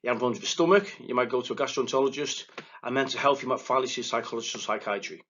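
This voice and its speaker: Fast-talking Liverpool man, husky voice. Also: medium pitch, lo-fi sound. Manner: fast, excitable delivery with verbal stumbles and restarts. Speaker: psychotherapist giving a recorded lecture. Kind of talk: recorded lecture to counselling students. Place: presenting alone without a live audience.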